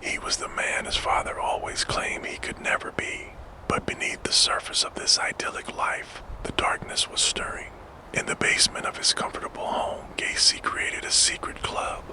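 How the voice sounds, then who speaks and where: A man narrating a scripted story in a hushed, gentle ASMR whisper, as the host of a sleep storytelling show. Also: normal speed, poor audio. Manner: hushed, gentle, soothing delivery. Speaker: host and narrator of a true crime sleep channel.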